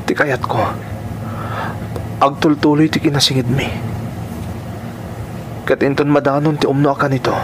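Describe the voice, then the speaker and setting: A man acts a theatrical Filipino friend in a radio drama, putting on a sassy, effeminate front over secret longing. His mid-pitched voice comes with clear background noise. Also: lightly acted. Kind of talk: Ilocano radio drama acting.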